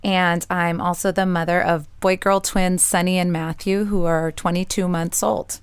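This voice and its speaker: Clear-voiced woman in her thirties. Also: fairly low pitch, little pitch movement, moderate pace, no echo. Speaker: podcast panelist. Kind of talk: casual self-introduction.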